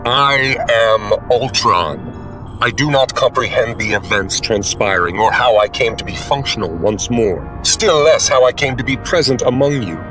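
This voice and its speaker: A man voicing an emotionless, menacing killer robot in an audio drama, speaking in slow, formal pronouncements. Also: medium pitch, over music, close to an everyday voice, low-quality recording. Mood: cold menace.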